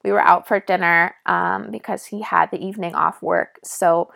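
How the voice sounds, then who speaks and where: A young woman from Canada with a raspy voice, a nursing student casually telling her story in a vlog with lots of fillers and asides. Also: lowish voice, fairly even intonation, moderate pace, fairly bright tone. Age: young adult.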